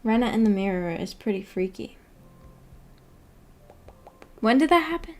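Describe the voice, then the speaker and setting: A woman's voice: on the high side, expressive, a little slow, darkish tone, a little hiss or hum. A woman streamer chatting casually with viewers, rambling and correcting herself as she goes. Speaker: streamer hosting a book club chat. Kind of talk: casual livestream chat, reading and answering comments. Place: indoors at home, upstairs room.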